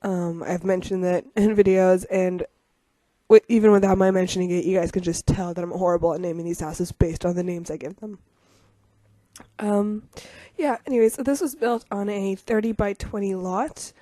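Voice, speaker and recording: A young woman with a rough voice from getting over a cold, chatting casually over a game video with lots of ums and self-deprecating asides. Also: medium pitch, steady tone, normal speed, mellow, no echo. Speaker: YouTuber narrating a game speed build.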